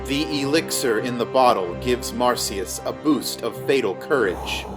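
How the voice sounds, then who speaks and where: A man reading scripted fantasy narration in a measured storytelling manner. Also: medium pitch, lively intonation, music in the background.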